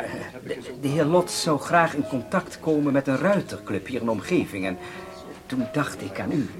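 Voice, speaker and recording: Jovial obliging host, lowish voice, formal, hesitant, radio drama, music behind. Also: male voice. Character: jovial, hesitant, obliging hotel acquaintance making introductions.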